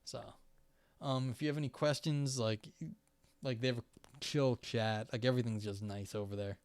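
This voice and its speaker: A young man chatting casually on a live stream, rambling with many fillers and restarts, his voice starting to go hoarse. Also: medium pitch, steady tone, normal speed, a little mellow, no echo. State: voice going hoarse.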